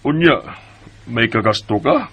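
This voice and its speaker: An actor playing a practical, cautious father in a radio drama, husky and deliberate as he advises. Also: medium pitch, lively intonation, lo-fi sound, lightly acted. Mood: doubtful, advising.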